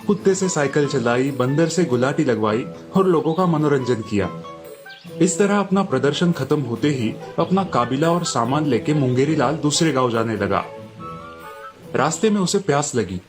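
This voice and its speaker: A man narrating a scripted moral story as a storyteller. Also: medium pitch.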